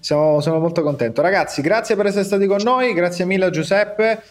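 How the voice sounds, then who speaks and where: Uomo dalla voce piuttosto acuta, conduttore di podcast. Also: male voice, high voice, fast talker, soft background music. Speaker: podcast host. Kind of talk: casual podcast conversation.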